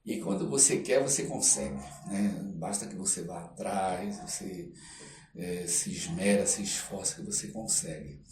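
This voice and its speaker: An older man with a Brazilian Portuguese accent tells stories as an interview guest, in a halting way, repeating phrases for emphasis. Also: low voice, very animated, darkish tone, recorded from a distance. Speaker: podcast guest, stage performer. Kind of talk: conversational storytelling interview. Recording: roomy echo, off-mic, faint noise.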